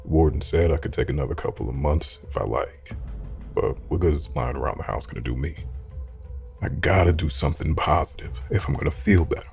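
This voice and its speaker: Man as very deep, brooding vengeful guard. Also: gravelly. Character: grieving, bitter male prison guard bent on revenge. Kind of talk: comic dub voice acting.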